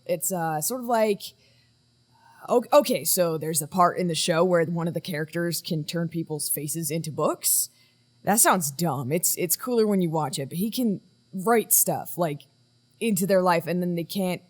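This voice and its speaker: A woman playing a weary, commanding research team leader in a naturalistic audio drama, matter-of-fact with some hesitation. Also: fairly low pitch. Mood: flustered.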